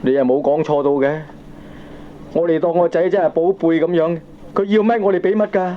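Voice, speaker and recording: A man's voice: on the high side, fairly even intonation, brisk, close to an everyday voice. An actor with a Hong Kong accent playing a doting, aggrieved middle-aged father, deferential and questioning, in a radio drama. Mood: aggrieved, baffled. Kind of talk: Cantonese radio drama acting. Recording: dull, slightly noisy old cassette recording.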